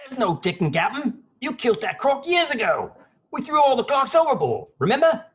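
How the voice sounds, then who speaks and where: A man's voice: extremely high pitch, very animated, brisk, fairly bright tone, breathy edge, muffled recording, exaggerated voice. A man acting a fawning, soothing pirate sidekick who coaxes and placates in a theatrical script table read. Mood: reassuring. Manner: coaxing, placating.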